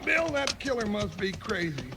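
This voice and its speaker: Casting: sleepy cowboy sidekick, high-pitched and croaky, homespun, vintage radio western with noisy sound. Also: male voice, big pitch swings, moderate pace, exaggerated voice. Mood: puzzled, earnest. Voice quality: creaky, gravelly high voice. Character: sleepy, folksy cowboy sidekick, good-natured worrier. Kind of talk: 1950s radio western acting. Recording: noisy old radio recording.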